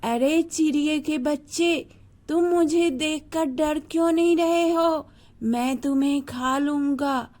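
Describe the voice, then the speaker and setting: An uncle snake from a children's storybook, acted by a woman. The voice is high and mellow, with slow, avuncular phrasing that suits a predator who turns protector. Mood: puzzled, menacing. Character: kindly uncle snake, first hungry, then protective.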